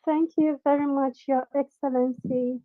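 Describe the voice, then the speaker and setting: A woman moderating a question-and-answer session, briskly handing over between speakers. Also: high-pitched, flat delivery, phone-quality sound.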